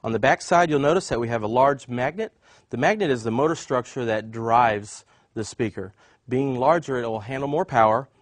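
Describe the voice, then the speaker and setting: A man with a medium-pitched voice gives an instructional product demonstration. He explains each point step by step in the way of a trainer showing how something works. The recording is dry, with very little room sound. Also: moderate pace, dry recording.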